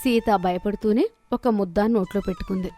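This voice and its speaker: A woman's voice: mid-range voice, sing-song, brisk, dark tone, faint music behind. A woman storyteller narrating a scripted moral story.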